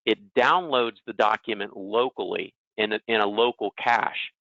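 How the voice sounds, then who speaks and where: Bright, forceful low-voiced man presenting. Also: moderate pace, gravelly, no echo, highs cut off. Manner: conversational, self-deprecating, checks in with "okay" and "right". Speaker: presenter, legal technology consultant. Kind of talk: informal webinar software demonstration.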